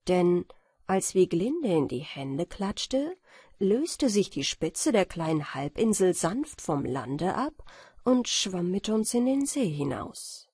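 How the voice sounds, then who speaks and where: A woman narrating a bedtime fairy tale audiobook in a gentle, measured storytelling manner. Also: fairly low pitch, very animated, breathy edge, dry recording, clean studio sound.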